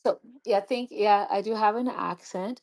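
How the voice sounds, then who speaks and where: A woman from Montreal with a voice, calling in to a radio show and speaking hesitantly with many pauses. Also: medium pitch, darkish tone, rough recording. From Montreal, Canada. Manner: hesitant, many ums and uhs. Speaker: caller. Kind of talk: call-in radio comment.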